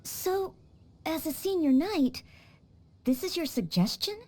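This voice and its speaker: A high, lively voice for an earnest young maid set on becoming a knight. She speaks politely and eagerly, now and then stumbling on her words out of shyness. Soft game music plays quietly behind her. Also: takes it slowly, heavily acted. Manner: polite, earnest, hesitant with small stammers. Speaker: game character. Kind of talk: game cutscene voice acting.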